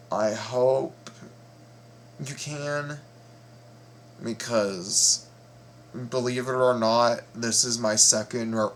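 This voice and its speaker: A man hosting a casual reaction video, talking in a halting, playful way and often repeating his words. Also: mid-range voice, fairly bright tone, a little hiss or hum.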